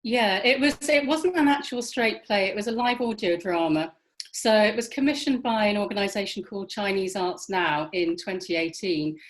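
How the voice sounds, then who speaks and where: A woman's voice: medium pitch, moderate pace. A woman from the UK explaining her work in a conversational, articulate way, as a writer in a Q&A. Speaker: writer interviewed on a panel.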